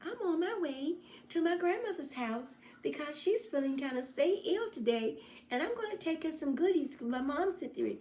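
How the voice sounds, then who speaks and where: A woman voicing an obedient little girl in a storybook tale, speaking in a high, earnest, childlike way. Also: expressive, moderate pace, darkish tone. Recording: echoey room.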